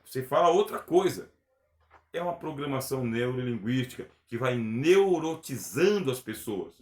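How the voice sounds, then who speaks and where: A man's voice: medium pitch, animated, normal speed, darkish tone. A man teaching a recorded theology lecture, earnest and emphatic, often asking rhetorical questions. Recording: echoey room.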